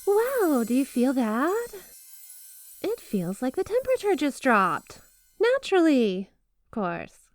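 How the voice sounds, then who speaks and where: A woman's voice: sits high, wildly swooping pitch, takes it slowly, no echo, faint music behind, heavily acted. A woman playing a flirty, possessive snow spirit wife in a roleplay ASMR monologue, coy and teasing, doting on her listener with feigned innocence. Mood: coy, mock-offended.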